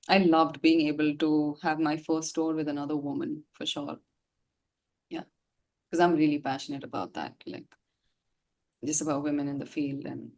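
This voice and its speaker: A woman with a slightly low voice talks in a loose, casual way. She is effusive and slangy, like a musician chatting in an interview. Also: darkish tone. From India. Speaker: singer and musician, interview guest. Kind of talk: casual chat.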